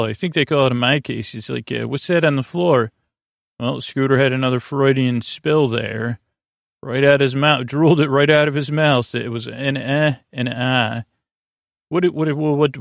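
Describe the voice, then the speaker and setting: A man hosting a sleep podcast with a rambling, halting bedtime monologue, soothing and creaky, wandering off into self-deprecating tangents. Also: medium pitch, no room sound, studio quality. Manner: soothing, lulling. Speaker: podcast host.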